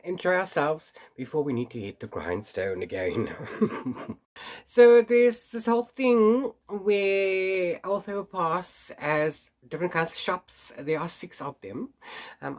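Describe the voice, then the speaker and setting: This is a man who runs a book channel, chatting to camera in a loose, rambling way. His nose is stuffed up and sniffly from hay fever, and he keeps restarting his sentences and letting out small laughs. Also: high voice. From South Africa. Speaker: book vlogger. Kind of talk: casual chat to camera.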